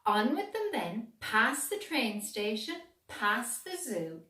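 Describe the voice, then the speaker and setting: An Irish woman reads a children's story slowly and expressively, with very lively pitch. The recording is echoey, with the microphone some way off. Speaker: teacher reading aloud. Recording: echoey room, distant microphone.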